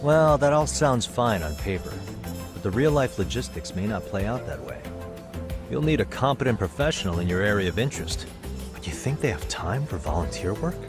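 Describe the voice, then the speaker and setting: A casual private eye in his thirties, reasons wryly and persuasively in video game dialogue. His voice sits low and stays conversational. Music is clearly audible underneath. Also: sing-song, brisk. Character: laid-back, persuasive adult male private detective. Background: background music.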